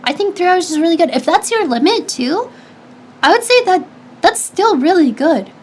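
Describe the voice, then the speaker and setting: A woman streamer answering her chat in casual talk, with a high-pitched, slightly nasal, stuffy voice, chatty and full of restarts. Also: lively intonation, normal speed, a little mellow. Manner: chatty, advice-giving, lots of restarts and fillers. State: stuffy nose.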